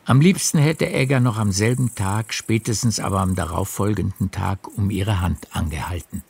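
Low, creaky male storyteller. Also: moderate pace, darkish tone, no room sound. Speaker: narrator. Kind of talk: literary radio drama narration.

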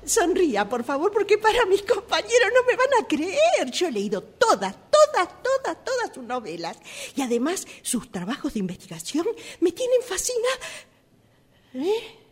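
Radio play role: starstruck female fan, acted by a woman, very high animated voice, breathless and pleading. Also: moderate pace, heavily acted. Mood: grateful. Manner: breathless pleading with repeated words. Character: gushing, flustered female fan begging a writer's autograph. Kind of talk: radio theatre workshop monologue.

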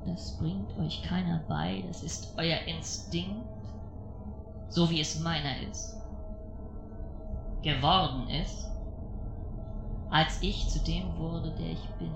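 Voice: medium pitch, slow, vocal fry, pauses often, lo-fi sound. A performer playing a cold, vengeful angel in a poetic radio-play monologue, solemn, declamatory and sorrowful. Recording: roomy echo with music behind. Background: music.

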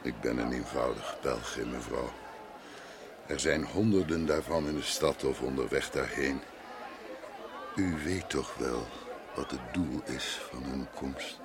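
Calm prophet, extremely low gravelly voice, courteous, gently ironic, radio play, busy crowd behind. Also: male voice, a little slow. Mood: calm, firm. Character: calm, self-assured prophet, a humble wandering preacher. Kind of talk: radio drama acting. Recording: noisy radio play amid loud crowd.

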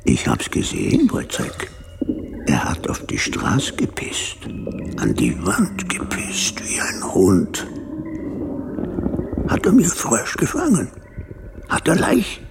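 Pompous doctor, wildly swooping voice. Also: male voice, wildly swooping pitch, takes it slowly, gravelly, long pauses, whispered, heavily acted. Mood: indignant, then agitated, scolding. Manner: declamatory, erratic swings from low mutter to shrill cry. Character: pompous, fanatical, hectoring male doctor and experimenter. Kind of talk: theatrical German radio play acting.

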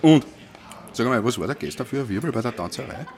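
A man's voice: medium pitch, expressive, fast, darkish tone, lightly acted. An actor playing a jovial, gossipy male nurse in a radio play, with an Austrian accent and a teasing, colloquial manner. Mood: gossipy, cheeky. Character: jovial, cheeky, gossipy care-home nurse. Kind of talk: German radio play, casual dialogue.

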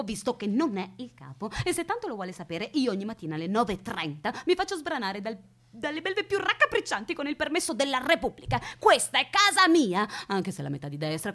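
A woman's voice: fairly high pitch, fairly bright tone. A woman playing an eccentric, dreamy and possessive single lady in absurdist theatre, theatrical and whimsical in manner. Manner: whimsical, theatrical, absurd logic delivered earnestly. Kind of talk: absurdist radio theatre acting.

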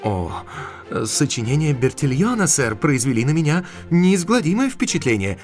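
High-voiced, excitable young country physician. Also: male voice, moderate pace, faint music behind. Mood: earnest, hesitant. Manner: effusive, courteous, hesitant. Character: young, eager, unpractical country physician, courteous eccentric science enthusiast. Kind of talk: Russian audio drama acting.

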